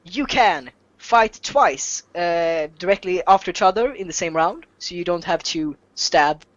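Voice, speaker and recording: A native Swedish speaker running a tabletop game as game master, explaining rules off the cuff in a halting, self-deprecating, jokey way. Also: mid-range voice, expressive, no room sound, dull audio.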